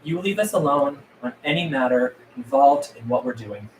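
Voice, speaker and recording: A man's voice: mid-range voice, animated, normal speed, a little hiss or hum, lightly acted, poor audio. A man reading the part of a defiant, shrewd survivor who leads his group, speaking in terse, blunt lines at a script table read.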